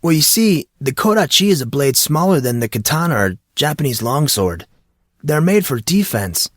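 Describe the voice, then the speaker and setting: A man's voice: a little high, big pitch swings, moderate pace, dry recording, studio quality, voice pushed up. A man voicing a laid-back teenage boy who is keen on martial arts and talks in casual dude-and-bro slang.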